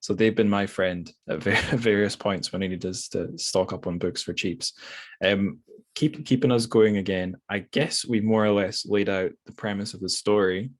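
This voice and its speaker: Low-pitched man hosting a casual book chat. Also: fairly even intonation, moderate pace. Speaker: podcast host. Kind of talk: casual book discussion interview.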